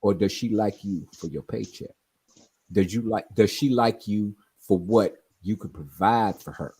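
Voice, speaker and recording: A man's voice: on the low side, measured pace, warm tone, slightly rough. A man in his forties telling stories in casual chat, often asking "you know what I mean?". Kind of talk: casual chat on a live stream.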